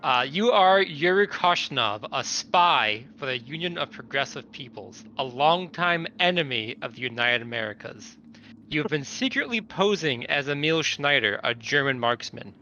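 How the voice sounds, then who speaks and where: A man's voice: a little high, animated, moderate pace, sharp tone. A man playing a tabletop game, talking casually and reading out character notes. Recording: dull lo-fi mic, faint noise.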